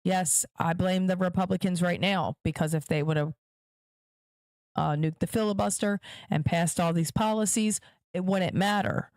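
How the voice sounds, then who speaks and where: A woman's voice: lowish voice, fairly even intonation, moderate pace, darkish tone, no echo. A woman hosting her own podcast, giving emphatic political commentary straight to her viewers and repeating points for stress. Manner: direct address to viewers. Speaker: podcast host.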